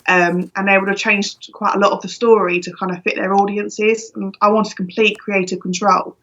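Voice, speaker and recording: A woman's voice: mid-range voice, little pitch movement, normal speed, a bit bright, highs cut off. A woman from London with a London accent, a guest author chatting casually over a video call, laughing often. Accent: London English. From London, England.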